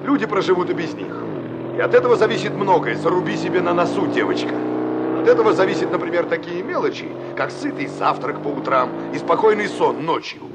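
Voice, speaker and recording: An irritated, scolding man in an old Soviet radio play. His fairly high, gravelly voice swings up and down as he lectures, over loud car noise. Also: huge pitch swings, brisk, full character voice. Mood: stern, lecturing. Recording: noisy radio drama with passing car sounds.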